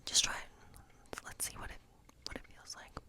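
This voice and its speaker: A woman playing a hospitable, eccentric woodland witch in an ASMR role play, speaking in a breathy whisper with a slow, coaxing, reassuring, rambling manner. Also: lightly acted. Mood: gently coaxing. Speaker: ASMR performer.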